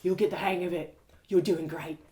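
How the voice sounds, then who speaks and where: A woman's voice: fairly low pitch, fairly even intonation, moderate pace, mellow, close to an everyday voice. A woman voicing a hearty male cobbler in a storybook, proud of his craft and plain-spoken like a salesman. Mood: encouraging.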